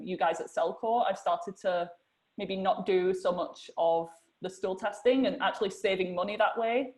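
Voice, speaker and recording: A woman's voice: fairly low pitch, darkish tone, low-quality recording. A young woman hosting a podcast interview, chatty and using "like" a lot as she asks questions. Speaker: host.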